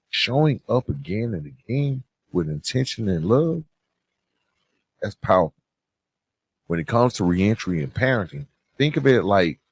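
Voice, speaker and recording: A man hosting a podcast, giving motivational advice in an earnest, conversational, gently preaching way with emphatic pauses. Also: low voice, breathy edge, dry recording. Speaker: podcast host and author.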